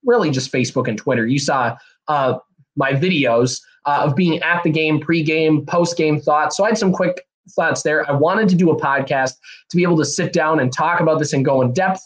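A young man, hosting a solo podcast in a rambling, energetic way, a bit hoarse and nasal from a cold. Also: fairly high pitch, moderate pace. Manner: rambling, energetic, many fillers and restarts. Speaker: podcast host. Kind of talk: casual solo podcast talk.

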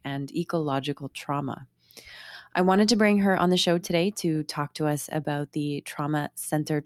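A woman in her thirties from South Africa hosting an interview podcast, reading a measured introduction and then chatting with her guest. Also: low-pitched, no echo. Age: 30s. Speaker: podcast host.

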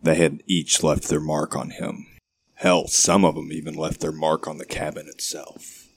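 Very deep-voiced man, measured delivery. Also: flat delivery, vocal fry, a little hiss or hum. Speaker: podcast host and audio drama narrator. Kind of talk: horror story narration and introduction.

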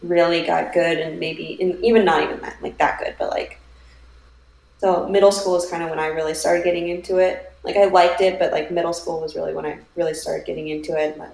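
A woman's voice: on the low side, level tone, a little fast, gravelly, darkish tone, roomy sound, rough recording. A young woman in her twenties, a golfer guest on a podcast, talking casually and hesitantly with lots of fillers. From Minnesota, raised in Virginia.

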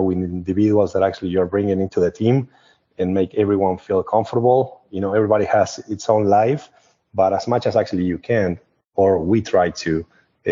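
A man's voice: low-pitched, dark tone. A man from Argentina with an Argentine Spanish accent, speaking conversationally as a company co-founder being interviewed, in a discursive way with frequent verbal tags. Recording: dull, muffled lo-fi audio.

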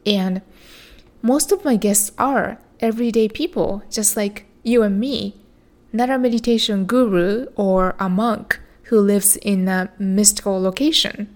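A woman hosting a podcast, talking through a reflective solo episode. Her voice is soothing and measured, and she speaks unhurriedly at a mid pitch. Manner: slow, soothing, measured delivery. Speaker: podcast host.

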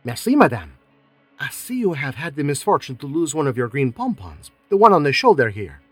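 A man putting on a fussy, dapper detective with a lively, rising and falling voice. He speaks precisely and politely, with faintly French turns. The sound is dry and close, with soft music underneath. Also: a little high, fairly quick, breathy edge, no echo, heavily acted. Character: fussy dapper middle-aged male foreign detective.

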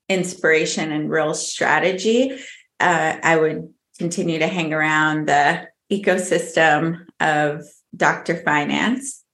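Lower-voiced woman in an echoey room. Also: fairly bright tone. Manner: fluent, upbeat, laughs often. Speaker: interview guest. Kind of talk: casual interview.

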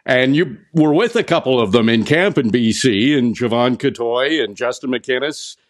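A man hosting a sports radio interview in a conversational way, pausing now and then as he frames his questions. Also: medium pitch, expressive. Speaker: sports show host, interviewer.

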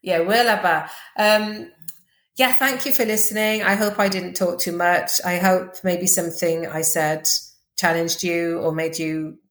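A woman with a Welsh accent, chatting quickly as a podcast guest, full of fillers and restarts. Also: lowish voice, fairly even intonation, a bit bright, roomy sound. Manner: fast-talking, chatty, lots of fillers. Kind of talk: casual interview chat.

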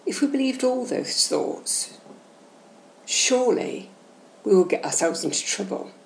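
A woman guiding a meditation session in a soothing, gentle, measured and instructional way. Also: on the high side, lively intonation, creaky. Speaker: guided meditation host. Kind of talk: slow, soothing guided meditation narration. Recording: roomy echo, faint background noise.

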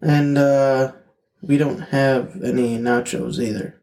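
A man's voice: mid-range voice, level tone, slow pace, darkish tone. A man voicing a flustered husband at the door, who hesitates and stammers with lots of fillers.

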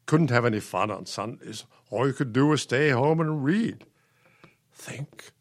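Radio play, patient older husband: nostalgic, reflective, mid-pitched voice with expressive swings. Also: male voice, unhurried. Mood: amiable, nostalgic. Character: patient, nostalgic elderly husband, soothing and wistful.

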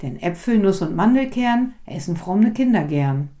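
A woman voicing an old, faithful Christmas servant in a recited poem, in a steady, dutiful way. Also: medium pitch, normal speed, natural voice.

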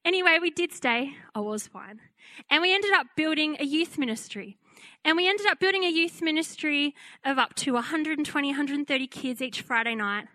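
High-voiced woman preacher, bright tone. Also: brisk, dry recording. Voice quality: fairly high-pitched. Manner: animated storytelling, acting out quoted voices. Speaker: pastor. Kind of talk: testimony sermon.

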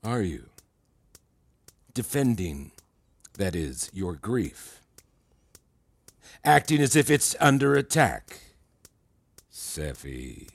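Manipulative male therapist, condescending, deliberate, self-assured, audio drama, dry close sound. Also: fairly low pitch, very animated, creaky, husky, no echo, full character voice. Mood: quietly condescending. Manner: patronizing, deliberate, needling. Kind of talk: fiction podcast acting.

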